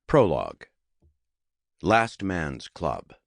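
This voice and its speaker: Low-voiced man narrating an audiobook. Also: huge pitch swings, drawn-out words, vocal fry, dry recording, clean studio sound. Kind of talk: scripted nonfiction narration. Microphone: close studio microphone.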